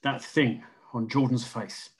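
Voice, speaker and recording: A man's voice: mid-range voice, steady tone, measured pace, a little hiss or hum, natural voice. A man playing a tough, insistent colony security chief in a screenplay table read. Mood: defiant, urgent.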